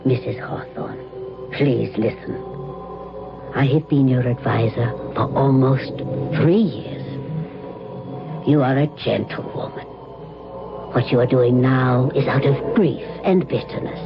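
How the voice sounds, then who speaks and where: An actor playing a grave mystic advisor in a radio drama, speaking formally in a solemn, measured manner. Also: male voice, medium pitch, steady tone, creaky. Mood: grave warning. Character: grave, cautioning mystic advisor dealing in dark remedies. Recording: dull old radio broadcast, music behind.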